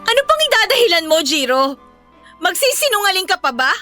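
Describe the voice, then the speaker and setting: A woman's voice: extremely high pitch, big pitch swings, a little fast, sharp tone, faint music behind, full character voice. An actress playing a jealous, combative young girlfriend in a Filipino radio drama, scolding with emphatic questions in a Filipino accent. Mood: betrayed, enraged.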